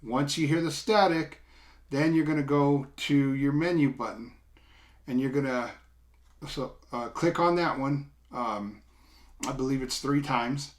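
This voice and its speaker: A middle-aged man giving a casual, unscripted product demo, explaining step by step in an informal, halting way. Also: mid-range voice. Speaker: product reviewer. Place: small indoor room, seated at a table.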